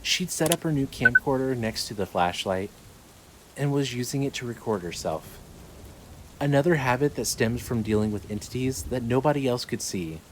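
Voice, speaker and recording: A man's voice: mid-range voice, lively intonation, normal speed, noisy background. A man reading scripted narration as the narrator and announcer of a fiction podcast.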